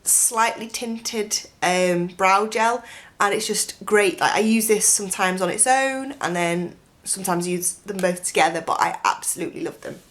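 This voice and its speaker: A young woman with a croaky voice from a chest infection, chatting through a makeup tutorial in a gushing way, full of "like" and "um", close to the camera in a bedroom. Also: mid-range voice, normal speed, a bit bright. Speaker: beauty vlogger. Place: bedroom with a dressing table, indoors.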